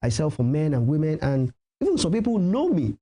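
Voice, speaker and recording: Emphatic Nigerian man, mellow higher-pitched voice. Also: huge pitch swings, brisk. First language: Yoruba. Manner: emphatic, advising, repeats key phrases for emphasis. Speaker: professional MC and event host, interview guest. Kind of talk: conversational television interview.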